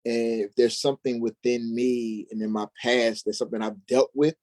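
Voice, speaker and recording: A man's voice: medium pitch, flat delivery, moderate pace, darkish tone. Folksy man with a mid-range voice. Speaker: stage actor, interview guest. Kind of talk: casual interview answers.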